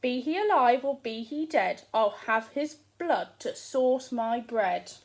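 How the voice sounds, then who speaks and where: A woman voicing a huge, hungry male giant who chants his threats loudly and slowly. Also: a little high, animated. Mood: menacing. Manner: loud, slow, chanting threats.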